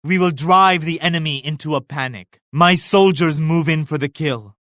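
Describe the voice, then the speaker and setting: An actor playing a devout, regal Mughal emperor in a video game, speaking in a formal, grave and pious manner. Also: male voice, fairly high pitch, moderate pace, a bit bright, no echo, studio quality, close to an everyday voice. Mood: resolute, grave. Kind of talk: scripted game character lines.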